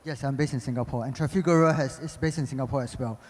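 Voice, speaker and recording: A man's voice: mid-range voice, fast, a little mellow. A man, a regional executive, introducing himself on a panel in a polite, matter-of-fact way. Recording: conference hall reverb.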